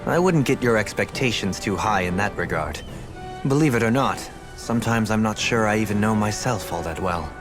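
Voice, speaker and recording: A man's voice: on the low side, animated, normal speed, lightly acted. A man playing a refined, politely aloof former lord in a video game, speaking formally and eloquently. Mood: wry, formal. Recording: music in background. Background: background music.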